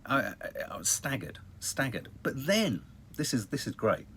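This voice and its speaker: A man's voice: medium pitch, big pitch swings, normal speed, a bit bright, a little hiss or hum. A British man hosting a casual live stream, chatting about the news in a rambling, wry way with dramatic emphasis. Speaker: online live-stream host. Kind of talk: casual news chat to viewers.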